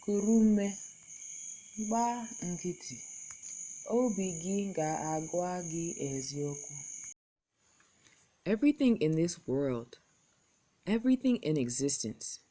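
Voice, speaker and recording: Young american woman reciting, real slow and deliberate, lowish mellow voice. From United States. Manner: slow, deliberate, measured phrasing. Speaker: contest entrant. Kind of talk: recorded poetry recital and appeal.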